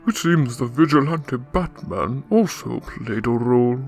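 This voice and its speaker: An actor playing a suave, pompous older crime boss with an oily, formal manner in a radio drama. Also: male voice, mid-range voice, animated, a little slow, soft background music. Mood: knowing, wry.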